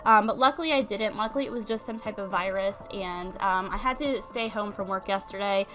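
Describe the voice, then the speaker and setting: A woman's voice: medium pitch, brisk. A young woman with a congested voice, chatting casually with lots of ums as she narrates a life-sim game. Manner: chatty, many ums and likes. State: congested from a cold. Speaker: let's-play host.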